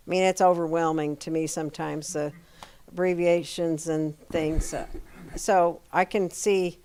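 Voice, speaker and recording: A woman's voice: low voice, fairly even intonation, measured pace, mellow, smooth. A woman presenting at a public meeting, hesitant and self-deprecating.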